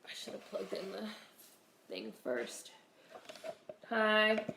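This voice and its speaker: A woman's voice: mid-range voice, takes it slowly, gravelly, noisy background. Stuffy-nosed woman just chatting with her stream, laid back, kinda roomy echo. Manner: chatty, relaxed, swears casually. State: congested with a cold. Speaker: livestream host. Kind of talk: casual livestream chat greeting viewers.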